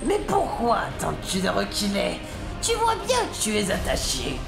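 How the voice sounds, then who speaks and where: A woman's voice: mid-range voice, huge pitch swings, normal speed, vocal fry, reverberant, music in the background. A woman playing a sadistic military interrogator in an audio drama, gloating, taunting and cruel with mock-polite menace. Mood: sadistic glee.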